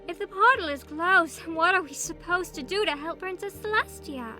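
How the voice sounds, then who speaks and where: A woman's voice: extremely high pitch, moderate pace, sharp tone, full character voice. A woman playing a refined, fussy, comfort-loving lady pony in a cartoon comic dub, with a prim and dainty manner. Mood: concerned. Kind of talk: fan comic dub acting. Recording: faint music behind.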